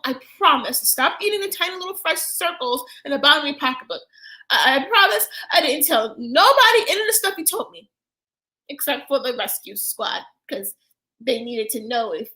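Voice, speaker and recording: A woman's voice: bright, forceful tone, low-quality recording. A woman voicing a lonely, childlike girl doll who talks with childish grammar and pines for her owner. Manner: high childish voice, bad grammar, wobbly. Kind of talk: audio drama acting.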